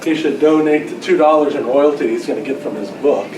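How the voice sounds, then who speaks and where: A man's voice: a little high, fairly quick, noisy background. A man acting as quiz master at a club gathering, reading out questions with joking, teasing banter. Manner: jokey, teasing. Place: club luncheon in a roomy indoor space. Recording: echoey room from far off, chattering crowd. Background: chatter of club members.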